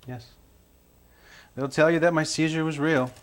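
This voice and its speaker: Defensive, halting young suspect's voice acted by a man. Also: fairly high pitch, steady tone, natural voice. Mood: defiant. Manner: halting, stammering, defiant. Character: defensive, evasive murdered man's son under interrogation. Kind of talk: naturalistic film drama acting.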